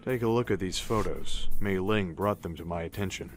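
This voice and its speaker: A man playing a calm older army colonel in an audio drama, measured and matter-of-fact. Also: low-pitched, lightly acted. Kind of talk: scripted audio drama acting. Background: music.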